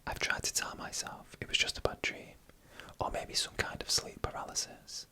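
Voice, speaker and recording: A narrator telling scary stories in a slow, hushed, breathy ASMR whisper, close to the microphone. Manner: slow, hushed, deliberate storytelling. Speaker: host and narrator.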